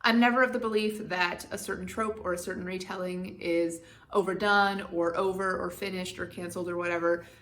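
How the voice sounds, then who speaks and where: A woman's voice: on the low side, steady tone, fairly quick, fairly bright tone. A young adult woman hosting a book channel, talking through a book tag in a candid, opinionated and wry way. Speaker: BookTube channel host. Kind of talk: casual book tag vlog.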